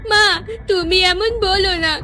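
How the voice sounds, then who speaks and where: A woman's voice: extremely high pitch, steady tone, unhurried, bright, forceful tone, soft background music, heavily acted. A woman voicing a pleading young daughter in a cartoon. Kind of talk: cartoon voice acting.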